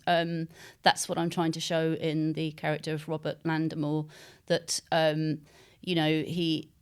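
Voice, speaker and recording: A woman with a smooth, clear voice that sits a little low. She talks as an interviewed author, explaining things reflectively and pausing as she shapes her phrases. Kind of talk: interview talk about own writing.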